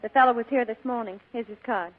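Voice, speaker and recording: A woman's voice: fairly high pitch, fast talker, close to an everyday voice. A woman playing an efficient, knowing detective's secretary in a 1940s radio drama. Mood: brisk, arch. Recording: tinny old radio broadcast.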